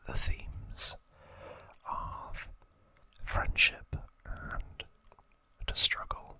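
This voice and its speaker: Male ASMR host, thirties: breathy whisper, very unhurried, gentle, with pauses between short phrases. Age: 30s. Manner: slow, hushed, gentle delivery with pauses. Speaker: host of an ASMR video channel. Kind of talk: ASMR trivia talk, whispered.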